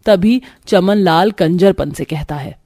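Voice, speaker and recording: A woman's voice: on the low side, slow, warm tone. A woman giving scripted narration for a cartoon family story.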